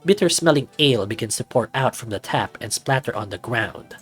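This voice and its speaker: A man's voice: mid-range voice, animated, fairly quick, a bit bright, husky, faint music behind. A man hosting a visual novel read-through, narrating and commenting casually. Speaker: video host and visual novel reader.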